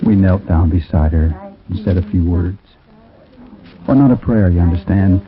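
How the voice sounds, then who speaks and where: A man's voice: deep voice, normal speed, crowd noise, natural voice. An actor playing a troubled man who narrates an eerie story in 1940s radio drama style, reflective and conversational with hesitant asides. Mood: haunted, subdued. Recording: tinny, muffled 1940s radio recording.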